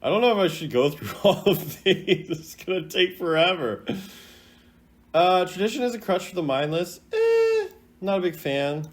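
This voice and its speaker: Animated man giving casual, rambling commentary. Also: high-pitched. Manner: rambling, thinking aloud, informal. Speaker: video game content creator ranking voice lines.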